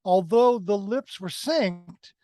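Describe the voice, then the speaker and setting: A man's voice: high-pitched, takes it slowly, warm tone. A man giving a conversational film critique as a review panelist, emphatic and repeating phrases for effect.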